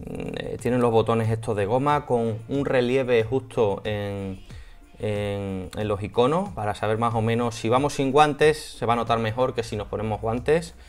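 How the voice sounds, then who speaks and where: A man in his thirties with an accent from Spain, talking casually and a bit hesitantly through a hands-on product review. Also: mid-range voice. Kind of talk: casual unboxing and installation walkthrough. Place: small home office room.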